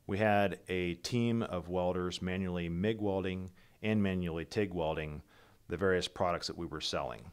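Low-voiced middle-aged man giving a keynote. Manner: steady, methodical, businesslike, with occasional slips and restarts. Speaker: company founder presenting. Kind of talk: prepared keynote presentation over slides. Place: voice-over for a slide presentation.

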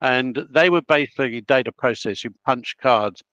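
An elderly man with an Australian accent talks in an unhurried, anecdotal way, often breaking off mid-sentence to restart. His voice sits at an ordinary pitch, and the recording is dry and slightly muffled. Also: a bit bright, no room sound. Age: 80s. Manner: rambling, anecdotal, self-interrupting. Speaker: guest, project planner. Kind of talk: casual interview storytelling.

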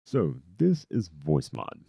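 Very deep-voiced man, casual. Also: wildly swooping pitch, measured pace, gravelly, dark tone, slightly rough, dry recording, clean studio sound. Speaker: software reviewer and streamer. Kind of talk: casual review to camera. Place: indoor home streaming setup. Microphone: close boom-arm microphone.

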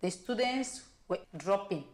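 A woman's voice: medium pitch, animated, measured pace. A woman teacher with a Cameroonian accent giving short, firm answers in an interview. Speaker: guest teacher.

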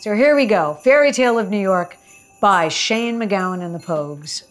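A woman's voice: mid-range voice, lively intonation, normal speed, soft background music. A middle-aged woman speaking casually and enthusiastically as she introduces a performance to camera at a close microphone in a home kitchen. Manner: enthusiastic, effusive. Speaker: host introducing a song.

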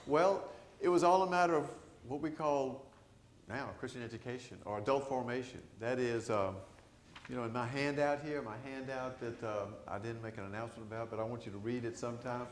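An older man, a priest, preaching a conversational sermon to his congregation, animated and asking them questions. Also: mid-range voice, a little fast, slight background noise. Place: large church sanctuary. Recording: echoey church sanctuary.

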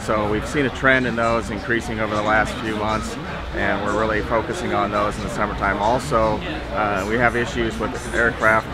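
A man's voice: lowish voice, flat delivery, moderate pace. A middle-aged American man giving an informal safety briefing as an official at an exhibit booth. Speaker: aviation safety official explaining runway safety. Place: exhibit booth in a large indoor hall. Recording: busy exhibit hall crowd noise.

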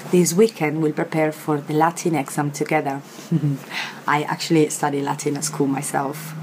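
A young Italian woman with an Italian accent, teaching a vocabulary lesson to camera in a chatty way, filled with pauses and fillers, recorded outdoors on a terrace. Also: low-pitched, level tone, background noise. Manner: chatty, many fillers, repeats words slowly. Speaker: language teacher.

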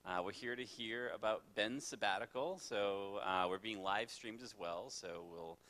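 Man, very deep voice, bright tone, sniffly cold, casual moderator, handheld mic. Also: measured pace. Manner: easygoing, filler-heavy hosting. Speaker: moderator of a church discussion. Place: church sanctuary with a live audience.

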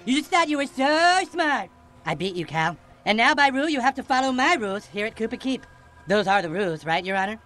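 A man's voice: very high-pitched, big pitch swings, brisk, full character voice. A man voicing a scheming, boastful, bossy cartoon boy who whines and gloats. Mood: gloating. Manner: whiny, gloating and shouty. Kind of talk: cartoon game cutscene acting.

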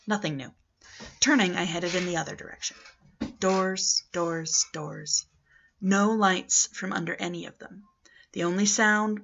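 A woman in her thirties narrates a novel in a fairly low, gravelly voice with a bright, sharp edge. She is recorded indoors on a slightly dull webcam mic. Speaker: amateur audiobook reader. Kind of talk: scripted narration, reading a novel aloud. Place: living room at home, indoors. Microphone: webcam or laptop at arm's length.

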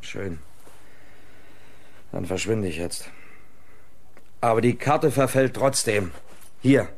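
An actor playing a jealous, sullen young boyfriend in a German radio crime play, talking in a sulky, curt way. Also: male voice, lowish voice, animated, slow pace, exaggerated voice.

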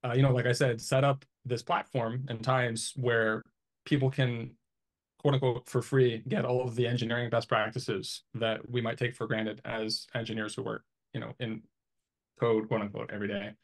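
A man's voice: mid-range voice, little pitch movement, moderate pace. A man in his thirties explaining things casually in an interview, thinking aloud in a conversational way, on a video call from a small room. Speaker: interviewee, IT engineer. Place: small home office, indoors.

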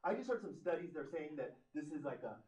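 A man's voice: on the high side, very animated, fast, darkish tone. A man in his forties hosting a live TV studio show, gushing and enthusiastic with his guests. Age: 40s. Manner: enthusiastic, effusive. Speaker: host. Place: TV studio set. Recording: roomy studio from a distance.

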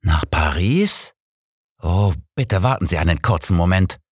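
A man's voice: deep voice, lively intonation, unhurried, husky, dry recording, studio quality, full character voice. Man voicing an emphatic old master detective. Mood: excited. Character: old male master detective, shrewd and insistent.

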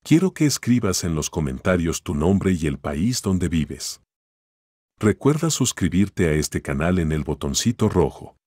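A man voicing a tough, loyal red echidna guardian who chats in a friendly way on a scripted character call, his voice deep and husky. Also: sing-song, unhurried, dark tone, dry recording, clean studio sound, exaggerated voice. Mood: friendly, inviting. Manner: friendly, addressing a child listener in simple phrases. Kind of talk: scripted one-sided character phone call.

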